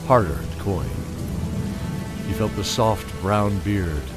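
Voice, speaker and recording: A man with a deep voice delivers scripted narration for a radio-play adventure. Prominent music and effects play behind his reading. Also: lively intonation, takes it slowly. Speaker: narrator and announcer.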